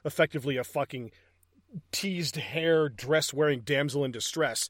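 Man, movie podcast co-host, talkative, explains scenes, somewhat high voice, dry sound. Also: moderate pace, no echo. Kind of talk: casual profane chat.

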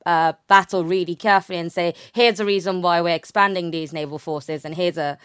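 A woman's voice: on the low side, steady tone, brisk, clear, resonant tone, no room sound, studio quality. A young woman speaking as an academic guest, explaining her research conversationally and often rephrasing with "sort of".

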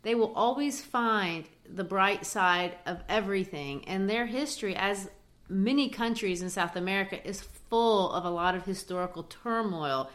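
A woman's voice: medium pitch, normal speed, fairly bright tone. A woman in her forties who grew up in Brazil, co-hosting a casual book talk and explaining things thoughtfully with small fillers. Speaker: podcast co-host.

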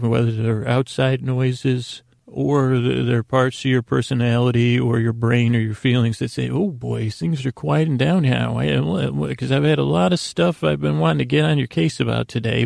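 A man with a very creaky, gravelly voice hosting a bedtime podcast, rambling haltingly and interrupting himself as he drifts into tangents. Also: mid-range voice, steady tone, a little mellow, no room sound, clean studio sound. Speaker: podcast host.

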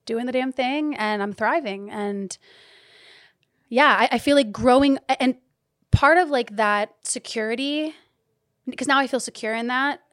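A fairly high-voiced woman in her thirties speaks openly and animatedly in a podcast chat, often laughing and sometimes cursing. She swings from loud and emphatic down to near-whispers, recorded dry and close with no room sound. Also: unhurried, no echo. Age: 30s. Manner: animated, swearing, laughing, shifting from loud to hushed. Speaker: guest, community leader and business owner. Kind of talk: candid podcast conversation.

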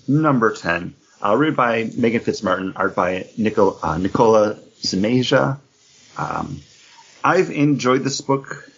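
A man's voice: mid-range voice, gravelly, fairly bright tone, husky. A man co-hosting a podcast, chatting casually about comic books in a dull, lo-fi recording. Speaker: podcast co-host. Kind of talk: casual comic book chat.

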